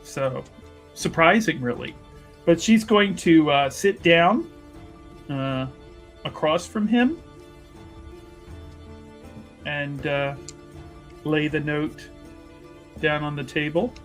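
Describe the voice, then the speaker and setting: A man's voice: fairly high pitch, animated, takes it slowly, pauses often, a bit bright, faint music behind. A man casually narrating his character's moves in a tabletop roleplaying game, with wry banter.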